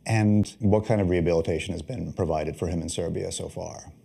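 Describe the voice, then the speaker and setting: Husky low male interviewer. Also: brisk, dark tone. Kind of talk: interview questions.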